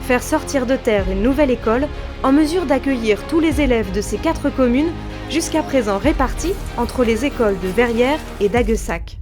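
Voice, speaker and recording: A woman's voice: fairly high pitch, lively intonation, normal speed, music in the background. A woman narrating a scripted informational documentary.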